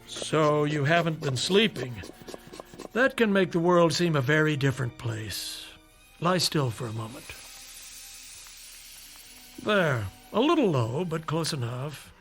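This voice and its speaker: A kindly doctor in a radio play, reassuring and a little skeptical. His voice sits high for a man and moves expressively up and down. He soothes and gently teases, with music playing underneath. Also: animated, unhurried, pauses often. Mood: soothing, dismissive. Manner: soothing, lightly teasing bedside manner. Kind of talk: modern radio drama acting.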